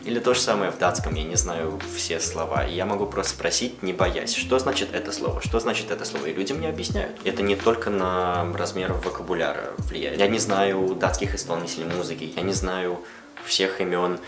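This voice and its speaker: Молодой мужчина с низким голосом, гулкая комната, музыка. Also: male voice, low voice, brisk. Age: young adult. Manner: relaxed, chatty, switches between Russian and English. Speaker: vlogger answering viewer questions. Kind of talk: casual Q&A vlog talk. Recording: roomy echo with music behind.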